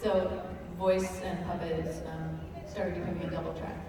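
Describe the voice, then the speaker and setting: A woman's voice: fairly low pitch, little pitch movement, moderate pace. A middle-aged woman, a voice actor and puppeteer, telling a casual anecdote on a panel. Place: large convention hall with audience. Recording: echoey large hall, distant, noisy audience.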